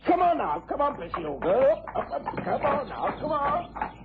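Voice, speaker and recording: Grumbly english country cart driver, high voice, tinny old radio recording. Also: male voice, very animated, moderate pace, background noise. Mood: coaxing. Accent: rural English. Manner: grumbling, folksy country speech. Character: grumbling rustic old-fashioned carriage driver and gardener. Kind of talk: period radio drama acting. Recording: tinny, band-limited old radio broadcast.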